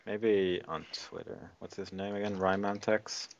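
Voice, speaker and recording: A man from the Netherlands chatting casually and haltingly, on a slightly dull recording. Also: on the low side, little pitch movement. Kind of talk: casual chat.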